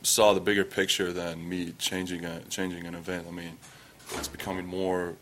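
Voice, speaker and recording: Low-voiced young man, Estonian accent. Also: little pitch movement, noisy background. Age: young adult. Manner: halting, many fillers and restarts, low-key. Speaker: athlete at a press conference. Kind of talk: press conference answers.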